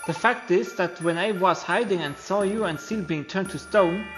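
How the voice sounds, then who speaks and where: A man's voice: sits high, moderate pace, lightly acted. A man voicing a timid, guilt-ridden creature who speaks apologetically and hesitantly in a game story, over music. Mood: apologetic. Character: timid, guilt-ridden creature friend turned reluctant betrayer. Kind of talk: voiced video game story playthrough. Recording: music behind the voice.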